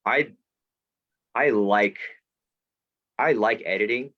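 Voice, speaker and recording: A man's voice: fairly low pitch, slow, no room sound. A man from Florida, a guest author on a video call, rambling and restarting, swearing casually. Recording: dull dry video-call audio.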